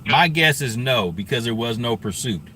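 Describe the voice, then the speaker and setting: A man's voice: medium pitch, animated, moderate pace, sharp tone. A man giving casual live-stream commentary on police scanner calls, conversational and thinking aloud. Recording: faint ambient vehicle and scanner noise.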